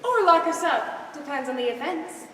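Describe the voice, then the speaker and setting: A woman's voice: high-pitched, animated, moderate pace, a bit bright. A teenage girl on stage playing a timid, earnest young woman in a comic play. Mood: nervous, earnest. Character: timid young woman who laughs at everything. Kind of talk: high school stage play acting. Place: stage in a large hall, recorded from afar. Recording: echoey hall, distant microphone, background noise.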